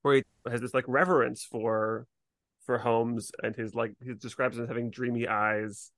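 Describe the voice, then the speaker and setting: A man's voice: mid-range voice, flat delivery, moderate pace, fairly bright tone, no echo, studio quality. A man chatting casually as a podcast co-host, sprinkling his talk with "like". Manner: chatty, frequent "like" and hedging. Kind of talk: casual chat discussing a TV series.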